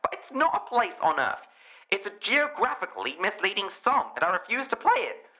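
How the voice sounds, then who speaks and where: A man's voice: sits high, sing-song, brisk, sharp tone, exaggerated voice. An English man playing a pompous, pedantic geography radio DJ in a comedy radio play, with presenter patter through a radio filter. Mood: brisk, officious. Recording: dull band-limited radio sound.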